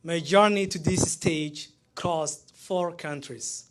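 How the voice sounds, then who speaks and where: A man in his thirties from Somalia, speaking with a Somali accent as he gives a prepared, heartfelt graduation speech, pausing between phrases. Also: on the high side. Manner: measured, heartfelt delivery with pauses between phrases.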